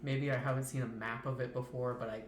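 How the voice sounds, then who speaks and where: A man's voice: medium pitch, flat delivery, quick pace. A man chatting casually as a player in a tabletop game. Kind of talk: casual chat. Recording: echoey, noisy room.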